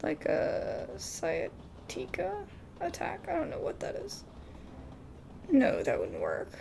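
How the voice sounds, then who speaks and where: A woman hosting a casual gaming video, reading game dialogue aloud haltingly and adding her own asides. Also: very high voice, huge pitch swings, gravelly, dark tone, slight background noise.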